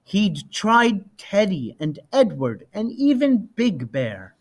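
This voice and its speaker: A man reading a children's picture book aloud expressively, with deliberate, dramatic emphasis on key words. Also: high voice, huge pitch swings. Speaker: storybook reader.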